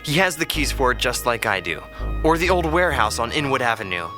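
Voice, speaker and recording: A man's voice: mid-range voice, big pitch swings, fairly quick, lightly acted. An actor playing a defiant young male captive in an audio drama, desperate and protective, urgent and strained. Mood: defiant, weary. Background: dramatic background music.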